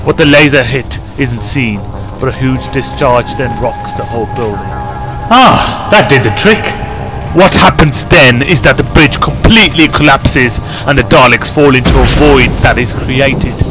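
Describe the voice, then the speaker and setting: A man reading scripted narration for an amateur audio drama. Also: medium pitch. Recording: music behind the voice.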